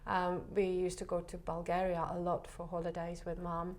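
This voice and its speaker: A woman speaks in a fairly low, level voice that barely rises or falls. She talks softly and hesitantly, pausing often and filling gaps with small sounds, as a guest in a relaxed interview. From Czech Republic. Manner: soft, hesitant, many pauses and fillers. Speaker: photographer guest.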